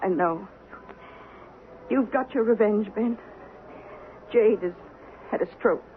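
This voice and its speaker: A woman playing an aging, regretful frontier wife in an American radio drama, halting and theatrical. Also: mid-range voice, natural voice. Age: older. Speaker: actor. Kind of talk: 1970s radio drama acting. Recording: tinny, noisy vintage radio broadcast.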